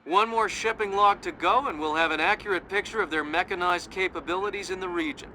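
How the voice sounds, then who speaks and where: A businesslike game mission controller, played by a man with a high voice for a male. He gives briefings plainly. Noise is clearly heard behind him. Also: brisk, lightly acted. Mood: approving, businesslike. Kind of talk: video game voice acting.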